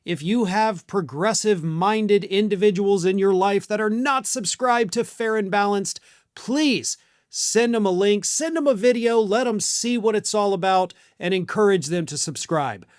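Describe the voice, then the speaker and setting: A man's voice: high voice, measured pace, no room sound, clean studio sound. An American man delivering opinionated news commentary as a host, in an emphatic, sarcastic and colloquial way. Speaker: political commentator and channel host.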